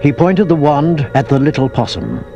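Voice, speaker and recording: Male storybook narrator over loud music. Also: on the high side, expressive, normal speed, dull audio. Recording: loud orchestral music under the voice. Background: film score music and sound effects.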